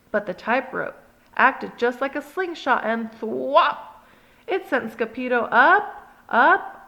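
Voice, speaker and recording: A woman reading a children's picture book aloud in an expressive, sing-song read-along style. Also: on the high side, gravelly. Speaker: storybook reader.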